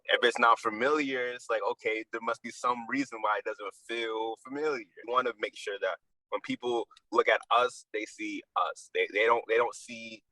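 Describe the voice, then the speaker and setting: A man's voice: mid-range voice, steady tone, moderate pace, pushed and bright, dry recording, low-quality recording. A man co-hosting a podcast, chatting casually and informally. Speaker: podcast co-host. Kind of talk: casual conversational chat.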